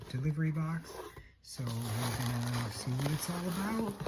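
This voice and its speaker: A hoarse-voiced vlogger talking casually and a bit hesitantly through a product unboxing. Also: mid-range voice, warm tone, slight background noise, poor audio. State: hoarse, losing voice. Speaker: unboxing video host.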